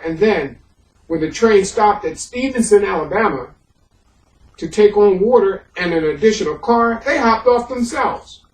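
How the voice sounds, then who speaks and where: A man working as a historian, presenting and reading a history book aloud, halting in his own remarks and more emphatic as he reads. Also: sits high. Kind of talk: introduction and audiobook-style reading of a history book. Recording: echoey room, far from the microphone.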